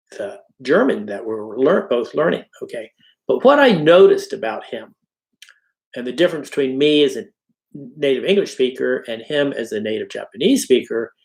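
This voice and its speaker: Middle-aged male instructor, casual lecture delivery, constant check-ins with the class, echoey room. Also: mid-range voice. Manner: conversational, frequent "okay?" and "all right?" check-ins. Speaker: college instructor. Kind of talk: informal recorded lecture.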